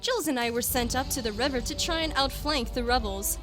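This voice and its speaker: A woman's voice: high voice, fairly quick, music in the background, natural voice. A woman voicing a brash, eager young battle priestess in a video game fan dub. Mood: proud, cheeky.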